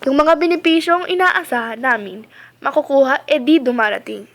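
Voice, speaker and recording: High female voice, Filipino accent, young woman acting weary underpaid employee, earnest, amateur radio drama. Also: normal speed, a bit bright, dry recording. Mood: weary, aggrieved.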